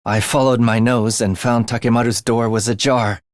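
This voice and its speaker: A man's voice: mid-range voice, little pitch movement, normal speed, no echo, studio quality, close to an everyday voice. A man voicing an eerie, bloodthirsty young male student in a video game, with a sinister, theatrical manner that savors morbid details. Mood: grim, calm. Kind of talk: video game character voice acting.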